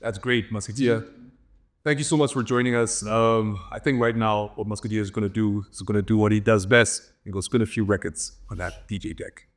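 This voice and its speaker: A man with a fairly low voice hosts a casual interview. He keeps his turns short, asking brief questions and adding quick agreements while his guest talks. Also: steady tone. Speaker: interviewer host.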